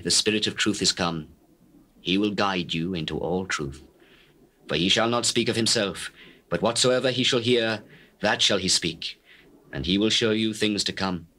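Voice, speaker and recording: A grave male teacher speaking to his followers in a dramatized scripture recording. His voice is fairly low, with a bright, forceful tone. The delivery is measured and declamatory, in solemn archaic English. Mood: solemn, assured. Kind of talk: dramatized King James scripture reading.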